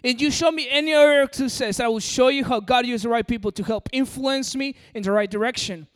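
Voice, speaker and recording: A man from Peru with an American-sounding accent preaches in a large hall. His voice sits high and rings with a long reverb. He talks directly to the crowd and coaxes them along. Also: brisk, smooth. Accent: American-sounding English. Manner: direct and coaxing, addresses the audience. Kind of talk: sermon.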